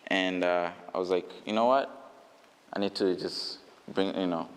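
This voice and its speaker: Casting note: very low-voiced man, hesitant interviewee, long reverb on the track. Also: fairly even intonation, moderate pace. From Uganda. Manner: hesitant, many fillers and restarts. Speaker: brand founder. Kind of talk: casual interview answers.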